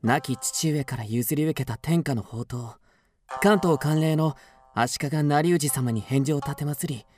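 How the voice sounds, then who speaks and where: A man's voice: a little high, unhurried, a little mellow, soft background music, natural voice. Earnest young samurai, played by a man, courteous and resolute. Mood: formal, respectful. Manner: formal, archaic, courteous speech. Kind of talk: period radio drama acting.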